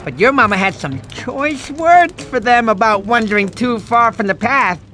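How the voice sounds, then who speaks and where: Silly cartoon sloth uncle telling a bedtime story, really animated and bright, folksy rambler, music under it. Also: male voice, extremely high pitch, normal speed, full character voice. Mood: storytelling. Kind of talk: animated storytelling to a young child. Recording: music behind the voice.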